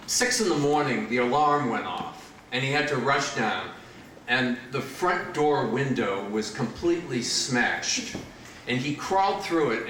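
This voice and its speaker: A man's voice: mid-range voice, pushed and bright. A man hosting a reading, giving a casual, anecdotal introduction to a live gathering. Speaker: host introducing a poetry reading. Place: indoor bookshop event in front of audience. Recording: very echoey, noisy room, far from mic.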